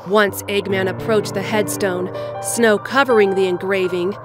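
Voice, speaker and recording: A woman's voice: mid-range voice, steady tone, a little slow. A woman reading scripted storybook narration as the narrator of an audio drama. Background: music.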